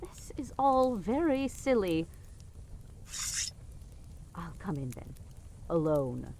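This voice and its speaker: A woman's voice: fairly low pitch, big pitch swings, slow, heavy background noise, heavily acted. A woman playing a haughty middle-aged queen and guilt-tripping mother in an audio drama, dismissive and cajoling by turns. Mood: exasperated, cajoling. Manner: dismissive, cajoling, imperious, with exasperated sighs. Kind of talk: audio drama podcast acting.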